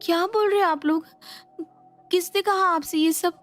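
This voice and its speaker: A woman's voice: very high voice, moderate pace, faint music behind, pitched above the performer's own voice. A woman voicing a naive, lovestruck young married woman, coy and emotive, in a dramatized moral story. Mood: flustered, defensive.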